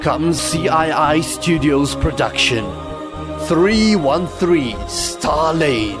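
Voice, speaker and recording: A man's voice: fairly high pitch, expressive, slow, music in the background. A man giving solemn, scripted religious narration as a narrator.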